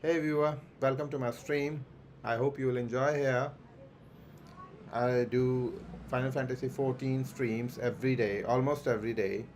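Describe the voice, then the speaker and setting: A man streaming a game, reading the on-screen dialogue aloud slowly and plainly, with stumbles and restarts. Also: mid-range voice, steady tone, a little mellow, a little hiss or hum.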